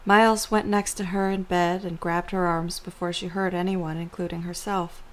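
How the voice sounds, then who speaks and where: A woman's voice: fairly low pitch, little pitch movement, moderate pace, low-quality recording. A woman narrator reading scripted story narration for an amateur audio drama.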